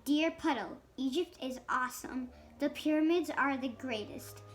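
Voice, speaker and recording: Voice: high voice, moderate pace, a little hiss or hum. A child of about seven or eight reading a picture book aloud, stumbling now and then on harder words. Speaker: young reader.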